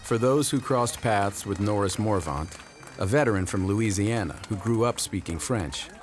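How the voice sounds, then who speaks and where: A man with a low, deep voice reads documentary narration in a measured, earnest way. Music plays clearly behind him. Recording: voice-over with loud music behind.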